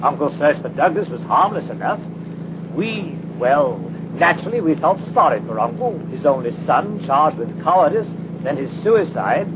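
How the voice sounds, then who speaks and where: An actor playing an agitated, genteel gentleman in a 1930s radio drama, halting and exclamatory in his speech. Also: on the high side, very animated. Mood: distressed. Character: agitated, genteel British gentleman, bereaved nephew. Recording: muffled, very noisy 1930s radio recording.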